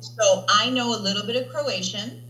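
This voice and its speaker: A woman's voice: mid-range voice, normal speed, sharp tone, reverberant, soft background music, rough recording. A woman language teacher talking casually in an interview and often checking in with "right?". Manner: chatty, tags statements with "right?". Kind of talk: interview conversation.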